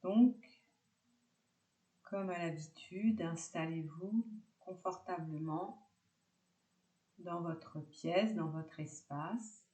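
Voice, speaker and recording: A woman teaching yoga nidra, guiding a relaxation meditation calmly in short, separated phrases. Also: lowish voice, very slow, long pauses, reverberant.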